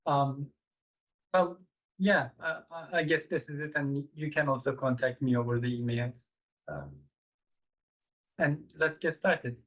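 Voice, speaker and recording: Halting Turkish-accented man, dull recording. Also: on the high side, fairly even intonation, fairly bright tone. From Turkey. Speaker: software engineer co-presenting at a conference. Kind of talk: informal self-introduction in a talk.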